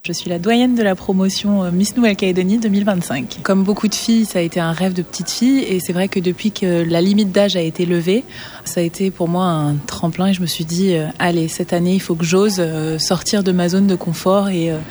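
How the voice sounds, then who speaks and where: A woman's voice: on the low side, fairly even intonation, fairly quick, a little mellow, slight background noise. A woman in her thirties, a beauty pageant candidate, speaking with fillers and hesitations. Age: 30s.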